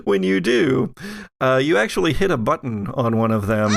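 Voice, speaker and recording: Man with a gravelly, creaky voice. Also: mid-range voice, sing-song, normal speed, very noisy. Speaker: game master. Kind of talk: improvised narration of a tabletop game.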